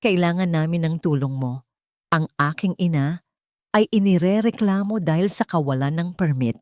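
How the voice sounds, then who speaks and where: A woman's voice: lowish voice, normal speed, a little mellow, no echo, studio quality, close to an everyday voice. A woman voicing a worried, protective adult son who pleads earnestly in an audio story.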